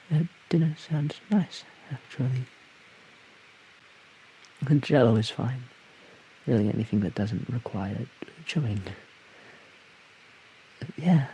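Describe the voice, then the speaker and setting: A man's voice: medium pitch, warm tone, rough recording. A man voicing a shy, awkward, friendly slime creature, stammering hesitantly in an audio roleplay addressed to the listener. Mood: bashful, flustered. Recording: hissy background noise.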